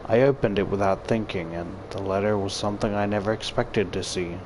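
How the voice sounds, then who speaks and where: A man's voice: on the low side, a little fast, noisy background. A man reading a visual novel aloud as a gaming YouTuber, with casual, profane commentary and exclamations.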